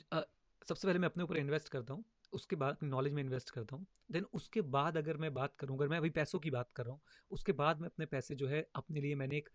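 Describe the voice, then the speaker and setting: A man in his thirties talks money in a quick, emphatic rush, his voice sitting a little high. He slips English phrases into his points. The recording is close and dry, with no room sound. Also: dry recording. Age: 30s. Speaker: finance educator, podcast host. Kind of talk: casual explanatory talk.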